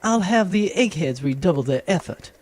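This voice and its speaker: A performer playing a dutiful, dry military commander in a comedic sci-fi audio drama, with a formal, deadpan manner. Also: medium pitch, normal speed, a little hiss or hum, natural voice. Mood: formal, reporting.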